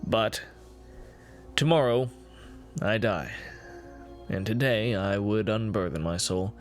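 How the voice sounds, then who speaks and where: A man gives a dramatic reading of a gothic horror story, with measured, deliberate phrasing and dramatic pauses. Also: fairly low pitch. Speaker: amateur reader of a classic horror story. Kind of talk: dramatic reading of gothic first-person fiction. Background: music behind the voice.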